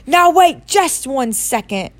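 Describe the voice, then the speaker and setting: A woman voicing a huge, gruff, fierce-tempered man with blunt rustic speech in a storybook reading. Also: high-pitched, big pitch swings, unhurried, no echo. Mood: thundering, outraged.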